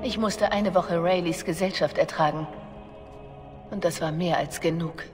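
A woman's voice: lowish voice, normal speed, natural voice. A woman voicing a wary, wronged young bard in a video game, with guarded, questioning delivery. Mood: bitter, guarded. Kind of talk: dramatic video game voice acting. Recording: long reverb, music behind.

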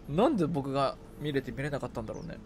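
A man's voice: medium pitch, animated, moderate pace, darkish tone, a little hiss or hum. A man chatting casually and playfully as he streams a co-op video game. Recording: game music behind. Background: video game music.

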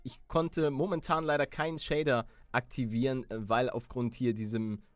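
A young man giving casual, excitable gaming commentary and joking as he chats. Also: mid-range voice, normal speed, no room sound. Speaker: let's player.

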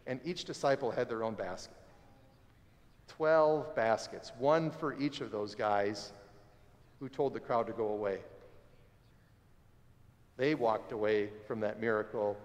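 A man in his forties preaching a sermon as pastor, conversational and animated, standing by the altar of a church sanctuary. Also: mid-range voice. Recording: long church reverb.

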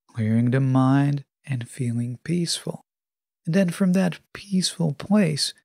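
A man hosting a podcast, delivering a scripted motivational monologue with measured, deliberate phrasing. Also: fairly high pitch, vocal fry, a little mellow, dry recording, clean studio sound. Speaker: podcast host.

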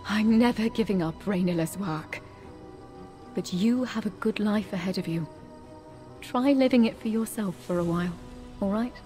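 Female actor: protective, resolute fantasy game mentor, average pitch, light music bed. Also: moderate pace, long pauses, dark tone, close to an everyday voice. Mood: resolute, gentle. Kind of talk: scripted fantasy game voice acting.

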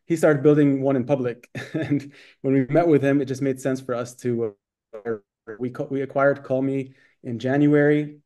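A man in his thirties who grew up in Saudi Arabia, with a Dubai international accent from American schools, answering interview questions over a video call with quick talk and many fillers. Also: mid-range voice, steady tone, mellow. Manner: quick, fluent, with frequent fillers and restarts. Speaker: guest, startup accelerator executive. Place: bright room indoors. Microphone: video call webcam.

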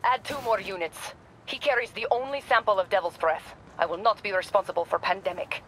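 A woman's voice: mid-range voice, a little fast, a bit bright, a little hiss or hum, natural voice, low-quality recording. An actress voicing a stern female mercenary boss in a video game, formal and authoritative. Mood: stern, commanding. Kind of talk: game voice acting.

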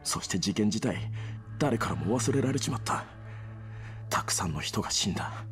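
Bitter young orphaned gangster, game drama, brooding, really dynamic pitch, music behind. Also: male voice, lively intonation, normal speed. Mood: grim, sorrowful. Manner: intense, brooding, breaking into anguished shouting. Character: bitter young male gang leader, orphaned immigrant, vengeful yet wounded. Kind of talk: Japanese video game cutscene acting.

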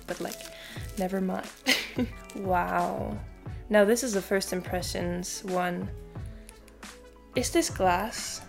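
A woman's voice: medium pitch, vocal fry. Young woman vlogger, casual and chatty, mid-range voice, talking to camera over faint background music. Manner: chatty, frequent "like", casual swearing. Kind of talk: casual haul video chat. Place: small wood-paneled room indoors.

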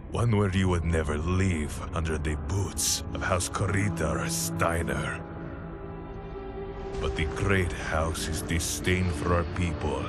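Game drama: doomed rebel father, very low voice, slow and solemn, music loud behind. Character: dying rebel father, weary, grieving, bitter toward oppressors. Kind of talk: dramatic cinematic monologue, recorded message.

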